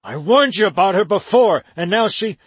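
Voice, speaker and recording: A man's voice: mid-range voice, measured pace, gravelly, a bit bright, no room sound. A man voicing a grave, protective young man who speaks tersely. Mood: grave, tense.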